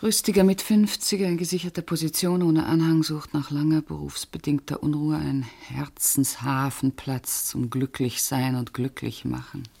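A middle-aged woman in a radio drama, the sensible confidante. Her voice is deep and husky with a creaky edge. She talks in a wry, matter-of-fact way and likes to tease. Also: steady tone, moderate pace, few pauses, a little mellow, heavily acted. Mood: dry, probing. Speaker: radio play actor. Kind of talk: German radio drama dialogue.

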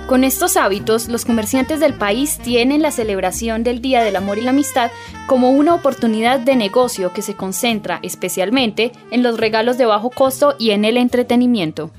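A woman's voice: fairly high pitch, a little fast, darkish tone, faint music behind. A woman with a Colombian accent reading scripted narration as a radio presenter.

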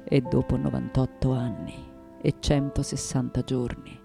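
An actress playing a reflective, anguished and defiant artist in her late nineties in a theatrical, deliberate radio monologue. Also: very deep voice, little pitch movement, vocal fry, over music, heavily acted. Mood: weary, reflective. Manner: theatrical, deliberate, dwelling on repeated words. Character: 98-year-old woman artist, intense, reflective, anguished, defiant.

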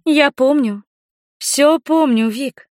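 A seductive adult woman in an audiobook romance, voiced by a female narrator, pitched fairly high. She is compliant and coaxing, speaking as a submissive lover. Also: slow pace, a little mellow, no echo, studio quality. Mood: reassuring, intimate. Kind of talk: audiobook character voice.

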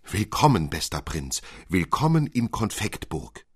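Man voicing a loud, pompous little mayor. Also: low voice, big pitch swings, unhurried, breathy edge, heavily acted. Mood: effusive welcome. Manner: loud, ceremonious proclaiming. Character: pompous little town mayor in brocade dressing gown.

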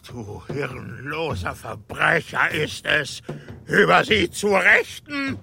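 Tormented roman governor, radio play, imperious and cynical, slow forceful delivery, harsh and deliberate. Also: male voice, a little high, lively intonation, gravelly, heavily acted. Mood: furious shouting. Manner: commanding, deliberate, dropping to harsh whispers and rising to shouts. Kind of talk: literary radio play acting.

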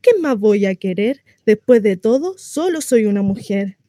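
A woman's voice: mid-range voice, animated, normal speed, mellow, dry recording, clean studio sound, natural voice. A woman playing a lonely, naive housewife in a theatrical radio monologue, gossipy, rambling and coyly confiding in a neighbor. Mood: chatty, boastful. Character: chatty lonely housewife, naive, insistently cheerful about her confinement.

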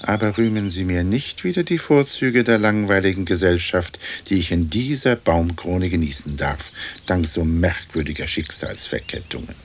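An actor playing a pompous, wry old aristocratic ghost in a radio play, speaking in stately, formal phrases. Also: male voice, sits low, animated, normal speed, few pauses. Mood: resigned, lofty. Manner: stately, long-winded, formal diction. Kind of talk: German radio play acting. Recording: old radio recording with faint noise.

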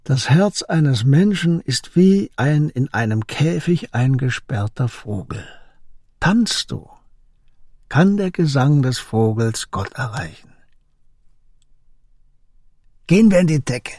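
Mellow, gentle wise old man. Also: mid-range voice, big pitch swings, no echo, clean studio sound. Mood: happy, tender. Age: older. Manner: slow, contemplative, tender phrasing. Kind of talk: radio drama acting.

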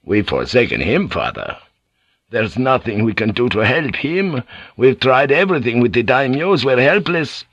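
A man's voice: mid-range voice, sing-song, moderate pace, fairly bright tone, dry recording, highs cut off. A man voicing an earnest young monk. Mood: sober.

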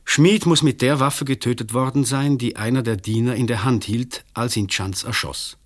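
A man's voice: mid-range voice, moderate pace, close to an everyday voice. An actor playing a weary, disillusioned male examining magistrate in a German radio play, speaking formally and rhetorically. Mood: sober, resigned.

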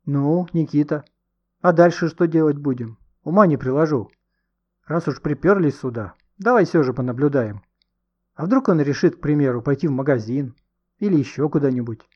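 A man's voice: a little high, animated, normal speed, highs cut off. A man voicing a sceptical, impatient boy in an audiobook, blunt and colloquial. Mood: sceptical, questioning.

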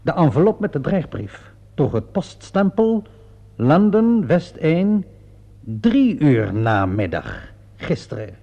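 Een acteur speelt in een hoorspel een sluwe, vasthoudende inspecteur die nadrukkelijk en schijnbaar beleefd ondervraagt. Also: male voice, a little high, very animated, mellow, exaggerated voice. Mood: methodical, pressing. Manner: insinuating, theatrically deliberate, mock-polite questioning. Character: shrewd, relentless male police inspector cornering a suspect. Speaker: actor playing a police inspector. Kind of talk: radio play acting. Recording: dull, muffled vintage radio play recording.

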